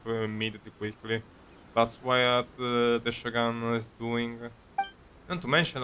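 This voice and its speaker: A man streaming a video game, casually commenting and reading dialogue aloud in a halting, sweary way. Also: medium pitch, pushed and bright, a little hiss or hum.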